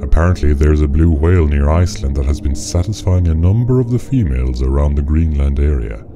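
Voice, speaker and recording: A man playing a menacing, stubborn imp in a radio play, speaking in a clipped, formal, deadpan way. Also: very deep voice, a little fast. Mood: dry, sly. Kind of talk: short radio play acting. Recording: music behind the voice.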